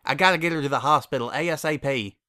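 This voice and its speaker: A man's voice: a little high, quick pace, bright, forceful tone, smooth. A man voicing a plain-spoken, practical country farm mare, caring and firm, with a folksy, blunt manner. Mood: grave, urgent.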